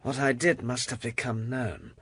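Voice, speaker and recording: A man's voice: mid-range voice, flat delivery, moderate pace, fairly bright tone, husky, no room sound, clean studio sound. An actor playing an earnest, troubled Hebrew man in a dramatized scripture reading.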